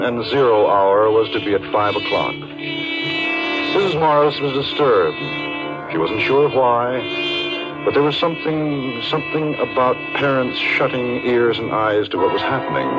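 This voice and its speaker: A man acting as a grave, deliberate storyteller, narrating a 1950s radio suspense drama. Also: medium pitch. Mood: ominous. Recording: muffled 1950s radio with music.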